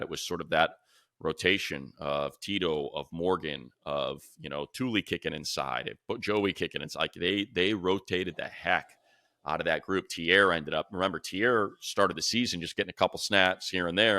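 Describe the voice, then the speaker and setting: A man co-hosting a sports talk show, giving casual analysis and thinking out loud as he runs through lists of names. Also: deep voice, a little fast, no echo, studio quality.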